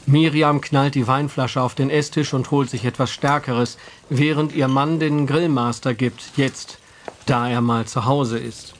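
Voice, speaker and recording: Male narrator, wry, measured, scripted storytelling, mid pitch, faint noise behind. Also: steady tone. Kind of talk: scripted audio drama narration.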